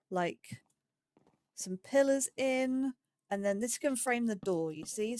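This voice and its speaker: A woman's voice: mid-range voice, darkish tone, no echo. Chatty woman narrating her block building. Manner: chatty, talks to herself while building, quick yelps when startled. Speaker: let's play host. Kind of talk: casual gameplay commentary.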